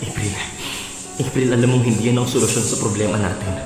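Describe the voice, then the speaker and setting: A man's voice: lowish voice, fairly even intonation, fast, lightly acted. An actor playing an earnest, insistent young husband and father in a radio drama. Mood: stunned, pleading. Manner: insistent, questioning. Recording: very echoey hall with faint music.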